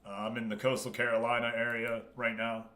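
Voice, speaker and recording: A man's voice: mid-range voice, completely flat delivery, fairly quick, pushed and bright. An American man hosting a livestream, chatting casually with viewers and commentating on a game as he goes. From United States. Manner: chatty, hops between addressing viewers and explaining. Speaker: live streamer and host.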